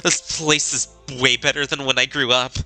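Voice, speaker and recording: A man's voice: medium pitch, level tone, normal speed, sharp tone, soft background music. A man reading as a brash young woman. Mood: blunt, carefree. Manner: blunt, matter-of-fact. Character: brash cheerful young woman from a rough upbringing.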